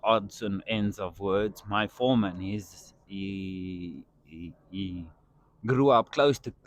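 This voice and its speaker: A man's voice: deep voice, slow, no room sound. A man whose first language is Afrikaans, talking as a podcast guest in a casual, earnest and playful way. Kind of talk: casual chat.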